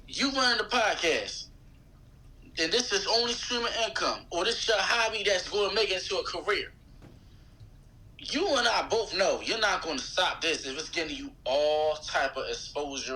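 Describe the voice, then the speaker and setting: A man's voice: on the high side, sharp tone, poor audio. An American man chatting casually as a podcast guest, using slang and repeating phrases for emphasis. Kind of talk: casual chat.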